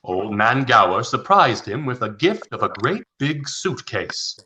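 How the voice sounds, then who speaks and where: A man's voice: medium pitch, very animated, unhurried, pushed and bright. A man narrating a scripted radio play as a storyteller.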